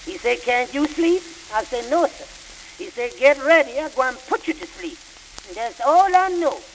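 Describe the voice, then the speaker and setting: A man's voice: extremely high pitch, animated, normal speed, low-quality recording. An actor playing a laconic janitor telling his side to a judge in a dry, drawling way. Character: wry Southern shop janitor, man, laconic. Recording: hissy old record.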